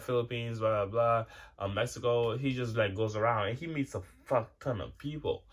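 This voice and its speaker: A man in his twenties talking casually to his viewers, rambling and cursing freely with lots of restarts and fillers. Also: medium pitch. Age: 20s. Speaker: vlogger. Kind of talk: casual rambling monologue to camera.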